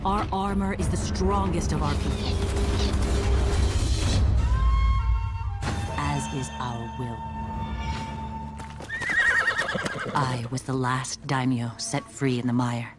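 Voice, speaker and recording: An actress playing a proud, determined female samurai lord, narrating a game story in a solemn, measured way. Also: a bass voice. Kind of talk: dramatic scripted game narration. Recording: loud music behind.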